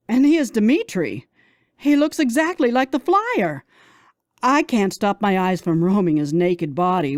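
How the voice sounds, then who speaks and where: A woman reading a first-person romance audiobook as a narrator, in a dramatic, expressive manner. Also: mid-range voice, dry recording, clean studio sound.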